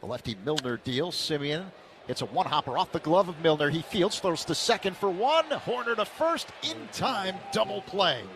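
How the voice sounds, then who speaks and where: A man calling a baseball game play-by-play on the radio, steady and then excited on big plays. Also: high voice, normal speed, background noise. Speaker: play-by-play announcer.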